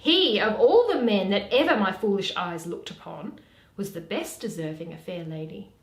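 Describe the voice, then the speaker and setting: A woman's voice: medium pitch, expressive, normal speed, close to an everyday voice. A woman playing a loyal, curious lady's maid in a theatrical Shakespearean reading, polite and coaxing. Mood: eager. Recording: roomy, echoey home recording.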